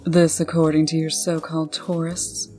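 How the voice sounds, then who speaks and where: A woman's voice: low voice, flat delivery, moderate pace, mellow, soft background music, close to an everyday voice. A woman playing a proud, impatient woman in charge, stern and clipped, in a comic dub. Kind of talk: comic dub character acting.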